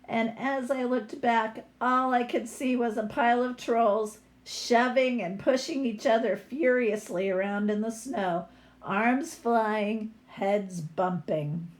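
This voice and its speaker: A woman reading a picture book aloud as a teacher would, with explanatory asides to young listeners. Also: on the high side. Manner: unhurried, explanatory.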